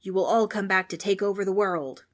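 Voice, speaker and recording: A woman's voice: medium pitch, brisk, close to an everyday voice. A woman voicing a grumpy, pompous old male ghost who lectures a group of children. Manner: bossy, irritable, grandly spooky.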